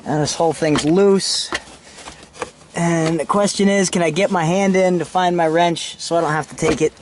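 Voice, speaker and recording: A man's voice: on the high side, steady tone, normal speed, background noise. A man giving a casual, informal hands-on how-to narration as a DIY car vlogger while he works inside a vehicle. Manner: informal, talking while working with his hands. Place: inside a Jeep, handheld camera.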